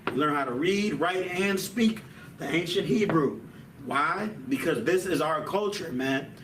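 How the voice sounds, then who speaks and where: A man's voice: fairly high pitch, lively intonation, unhurried, recorded from a distance, noisy background. Man teaching in an echoey room. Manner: peppers talk with "man" and "you know what I'm saying". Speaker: Hebrew language teacher. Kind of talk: casual classroom lesson.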